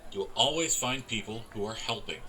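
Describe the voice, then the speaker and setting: An actor playing a genial, folksy middle-aged man who emcees a community event in a measured public-speaking manner. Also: lowish voice, sharp tone, a little hiss or hum, low-quality recording. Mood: genial, welcoming. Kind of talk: public welcome speech.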